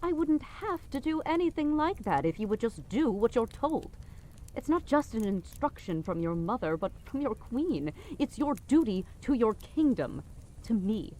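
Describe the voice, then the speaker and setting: A woman's voice: a little high, sing-song, moderate pace, dark tone, a little hiss or hum, poor audio. Woman playing a haughty, exasperated queen mother, swooping intonation. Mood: imperious, scolding. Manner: dismissive, cajoling, imperious, with exasperated sighs. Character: haughty, guilt-tripping middle-aged queen, mother of a rebellious princess. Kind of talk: audio drama podcast acting.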